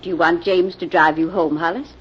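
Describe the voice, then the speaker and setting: An actress playing a wealthy older widowed aunt, genteel and emphatic, in a 1940s radio drama. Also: female voice, fairly low pitch, steady tone, fairly quick, natural voice. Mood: brisk, gracious. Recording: muffled old radio recording with hiss.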